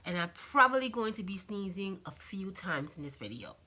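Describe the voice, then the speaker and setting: A woman hosting a casual crafting tutorial, chatty and friendly, her voice slightly raspy from a cold. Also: medium pitch, very animated, normal speed, bright, forceful tone. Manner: chatty, friendly, many fillers and "okay?" check-ins. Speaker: craft channel host.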